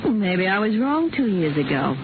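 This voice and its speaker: Detective's old flame, coy, easily stung, animated pitch, 1950s radio drama, tinny noisy recording. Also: female voice, normal speed. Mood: wounded. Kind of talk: 1950 radio drama acting.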